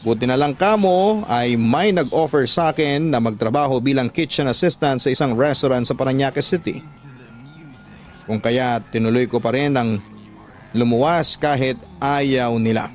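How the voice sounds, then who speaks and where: A man's voice: medium pitch, moderate pace, soft background music. A man narrating a scripted first-person story as a radio drama narrator.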